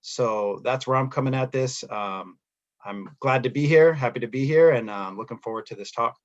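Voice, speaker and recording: A man from San Diego, a school principal, introducing himself on a panel in a measured, sincere way. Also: mid-range voice, lo-fi sound. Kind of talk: unscripted introduction.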